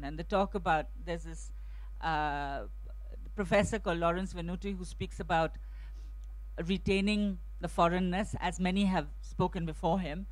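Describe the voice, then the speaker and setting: A woman's voice: on the low side, no room sound, low-quality recording. A middle-aged woman on an outdoor festival stage, speaking into a handheld mic, talking thoughtfully with many restarts and fillers. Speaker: translator on a festival panel. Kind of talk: panel discussion answers.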